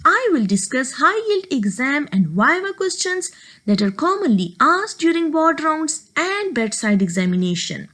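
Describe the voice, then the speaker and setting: A woman's voice: sits high, sing-song, measured pace, fairly bright tone. A woman from Pakistan, giving a recorded medical lecture and explaining step by step.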